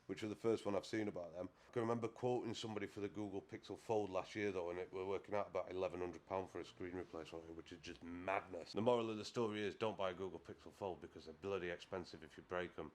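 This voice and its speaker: A British man hosting a casual solo podcast, hoarse and nasal from a cold, chatting in a rambling, self-deprecating way. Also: deep voice, fairly even intonation, quick pace, hardly any pauses. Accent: British English. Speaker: podcast host.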